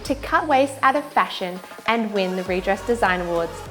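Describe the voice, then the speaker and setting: A woman's voice: medium pitch, expressive, normal speed, fairly bright tone, faint music behind. A woman narrating a scripted promotional introduction in a polished delivery.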